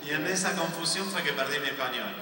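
A man interpreting a guest speaker live, with quick, fluent delivery, on a handheld mic in a large church sanctuary. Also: fairly high pitch. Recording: echoey hall from far off with crowd and noise.

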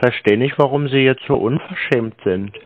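A man's voice: medium pitch, normal speed, dry recording. A man on an unscripted phone call, playing a naive customer who stubbornly keeps questioning. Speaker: prank-calling YouTuber posing as customer. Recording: slightly dull lo-fi phone recording.

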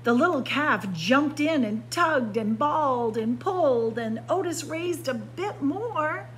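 Slow-paced woman reading a picture book aloud. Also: expressive, fairly bright tone. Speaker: library story time reader. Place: indoors by bookshelves. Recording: clear background noise with low hum.

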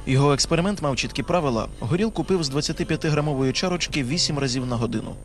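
A man narrates a scripted news report. His voice sits somewhat high for a man and he keeps a rapid pace. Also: expressive, soft background music.

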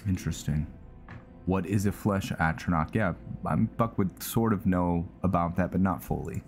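A man's voice: deep voice, moderate pace, a little mellow, soft background music. A man hosting a gaming video, giving casual roleplay commentary and thinking aloud.

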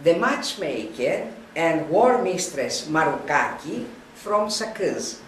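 Older woman reading a lecture slowly, roomy. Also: on the low side, slight background noise. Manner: slow, halting reading with frequent restarts. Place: wood-panelled room, indoors. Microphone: camera at a distance. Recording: roomy, distant camera sound.

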